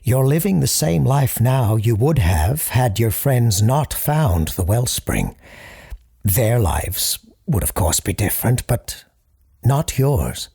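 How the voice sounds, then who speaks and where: Slow, husky, solemn radio parapsychologist. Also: male voice, mid-range voice, sing-song, mellow, exaggerated voice. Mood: firm, solemn. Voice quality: husky, gravelly. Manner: formal, deliberate, halting pauses. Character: solemn, measured male parapsychologist hosting a late-night call-in radio show. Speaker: radio call-in host. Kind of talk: scripted audio drama, deliberate radio monologue.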